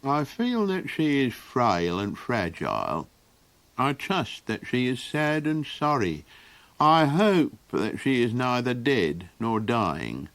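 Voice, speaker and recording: A man voicing a small, anxious male Golok creature in a hesitant, murmuring low voice. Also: very animated, slow pace, a bit bright, no echo, full character voice. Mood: fretful. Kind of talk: audiobook character voice.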